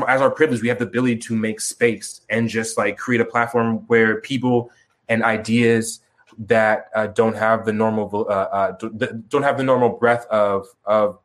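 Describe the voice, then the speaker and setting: Rambling, halting young man. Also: lowish voice. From Chicago, grew up in Tucson. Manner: rambling, halting, many restarts and fillers, swears casually. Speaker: musician guest. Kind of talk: casual interview talk. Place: remote video call.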